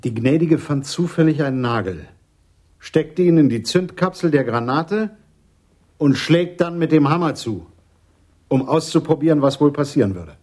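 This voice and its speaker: A man's voice: fairly high pitch, lively intonation, normal speed, a little mellow, dry recording, studio quality. An actor in a German radio play as an opinionated police sergeant who explains his theories with sarcastic emphasis. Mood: smug explaining. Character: eager, opinionated police sergeant, man, theorizing about crime.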